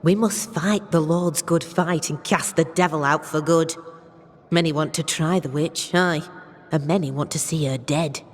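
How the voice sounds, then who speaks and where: A man's voice: fairly high pitch, steady tone, a little slow, close to an everyday voice. A male actor playing a stern, fanatical Puritan settler in a video game, preaching righteously in archaic speech with long reverb. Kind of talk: dramatic video game acting.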